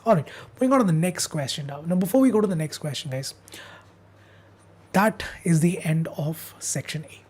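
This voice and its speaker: A woman's voice: lowish voice, darkish tone. A young woman, teaching an upbeat exam revision class and coaxing viewers to answer in the chat, while she is losing her voice. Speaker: online tutor.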